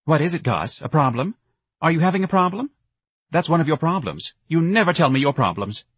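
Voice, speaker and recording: A man's voice: on the high side, very animated, fairly quick, a bit bright, slightly rough, dry recording, pitched above the performer's own voice, exaggerated voice. Man putting on a wailing, nagging middle-aged wife. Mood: needling. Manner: wailing, accusatory.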